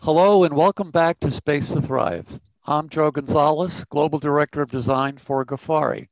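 A man's voice: fairly high pitch, moderate pace, no echo, highs cut off, faint music behind. A middle-aged man hosting a design podcast, friendly and loose, with lots of "uh" and restarts. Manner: hesitant, many fillers. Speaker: podcast host. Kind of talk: podcast interview.

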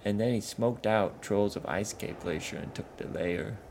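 A man voicing Sligu, a gruff blunt troll. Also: on the low side, moderate pace, slight background noise, pitched above the performer's own voice. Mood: gossipy. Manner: broken rustic grammar, loud, blunt. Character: gruff rust-brown male troll henchman, blunt.

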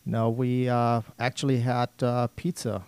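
A man with a German accent hosts a live radio talk show in a studio. He sounds upbeat and chatty and laughs often. His voice sits at an average pitch, and the sound is dry and close. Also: monotone, normal speed, darkish tone, clean tone, dry recording.

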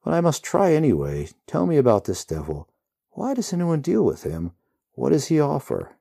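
A man doing a stern young heroine, raised voice, husky grain, earnest and urgent. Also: fairly high pitch, wildly swooping pitch, normal speed, dark tone, no echo, studio quality, voice pushed up, exaggerated voice. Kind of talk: storybook reading.

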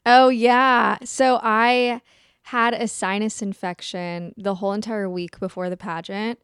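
A young woman co-hosting a podcast, telling stories in an animated, chatty way. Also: mid-range voice, unhurried, clean tone, no room sound, studio quality. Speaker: podcast co-host. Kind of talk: casual chat.

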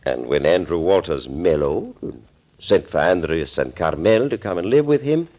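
An actor playing a suave, gloating villain doctor in an old radio thriller, urbane, theatrical and mockingly courteous. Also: male voice, deep voice, animated, moderate pace, a little mellow. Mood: smug, explaining. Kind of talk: 1940s radio acting. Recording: old scratchy 1940s radio recording.

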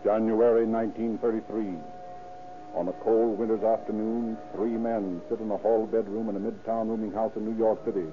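A man's voice: lowish voice, flat delivery, moderate pace, loud music behind the voice. An American man announcing and narrating an old-time radio show from a script in a formal, declamatory style. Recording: tinny 1930s radio recording.

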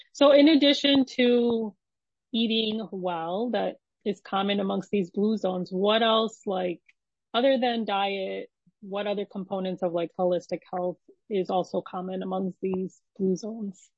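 A woman's voice: medium pitch, steady tone, a little slow, darkish tone, dry recording. Curious young woman host, dry dull mic. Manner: affirming, curious, casual fillers. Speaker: podcast host. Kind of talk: conversational interview.

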